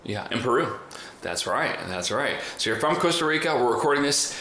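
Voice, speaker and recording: A man's voice: medium pitch, lively intonation, brisk, bright, forceful tone. A man hosting a conversational podcast interview, talking in a chatty, wordy way. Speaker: podcast host and trainer. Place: indoor room in the US. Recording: roomy echo, faint background noise.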